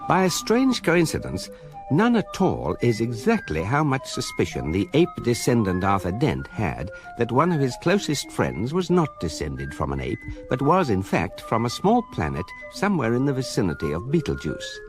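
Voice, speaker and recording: An actor playing a wry, unflappable guidebook narrator in a British accent, measured and precise, in a comic radio drama. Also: male voice, medium pitch, huge pitch swings. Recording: radio production with music behind.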